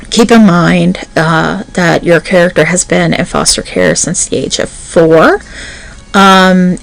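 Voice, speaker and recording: A woman hosting her own voice-acting channel, easing through a loose spoken intro at a slow pace. She rambles and fills gaps with ums, sounding a little nervous. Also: fairly low pitch, low-quality recording. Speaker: voice actor and channel host. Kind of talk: casual spoken intro to an audio roleplay.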